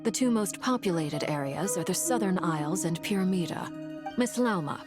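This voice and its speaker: An actress playing a reserved, dutiful officer in a video game, precise and measured, with music underneath. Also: female voice, fairly low pitch, expressive, close to an everyday voice. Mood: formal.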